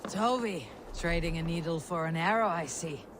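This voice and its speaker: An actress voicing a steady, stoic female Viking warrior in a video game, measured and dry in manner. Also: on the low side, background noise, lightly acted. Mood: dry, friendly. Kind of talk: video game character acting.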